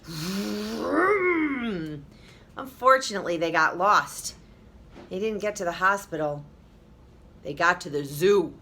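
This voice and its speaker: Woman teacher, bright voice, very animated pitch, expressive children's read-aloud, emphatic, talks to young listeners. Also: slow pace, long pauses, breathy edge.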